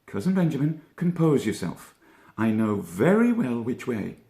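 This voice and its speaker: A man's voice: mid-range voice, very animated, moderate pace, gravelly, warm tone, reverberant, voice pushed up. A man playing a level-headed, slightly pompous young rabbit in a children's storybook, wordy and reflective. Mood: composed, reasoning. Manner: reflective, wordy, self-important.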